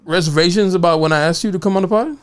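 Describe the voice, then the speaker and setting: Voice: mid-range voice, racing, clear, resonant tone. Laid-back podcast host. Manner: relaxed, laid-back, brief agreeing replies. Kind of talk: casual interview chat.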